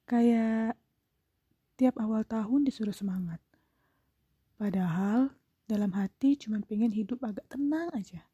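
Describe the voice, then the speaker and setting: A young woman, confiding gently in a breathy, half-whispered, intimate reflective monologue as a solo podcast host. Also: fairly high pitch, unhurried, long pauses, muffled recording.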